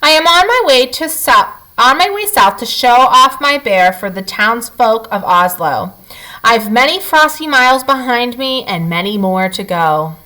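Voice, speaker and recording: A polite, plucky young traveler boy from a storybook, read by a woman, earnest and expressive. The voice is bright and fairly high, with lively pitch movement. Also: measured pace, low-quality recording.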